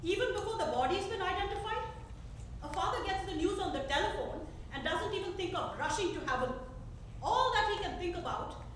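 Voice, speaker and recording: A woman in a staged reading, playing an unwell, grieving middle-aged mother who is quietly defiant and bitter. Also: very high voice, animated, a little fast. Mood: wounded, bitter. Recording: echoey theater from far off, faint noise.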